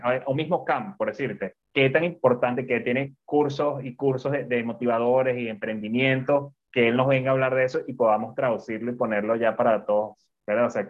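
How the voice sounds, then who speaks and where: A man from Venezuela co-hosting a casual chat over a video call, rambling and repeating himself, laughing often. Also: medium pitch. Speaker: co-host. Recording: dull lo-fi video call audio.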